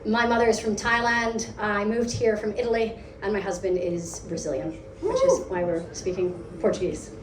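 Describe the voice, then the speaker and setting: An American woman leading a product team gives a lively, conversational meetup talk, asking the audience questions. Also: mid-range voice, moderate pace. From United States. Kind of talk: live conference talk. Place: event hall. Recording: echoey hall, distant mic, faint hum.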